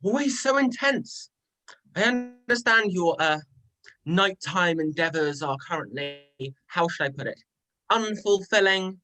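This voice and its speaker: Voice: medium pitch, expressive, a little slow, bright, forceful tone, rough recording. An amateur performer playing a sly male trickster god, mocking, smug and playful, in a dramatic reading of a myth. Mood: smug, teasing.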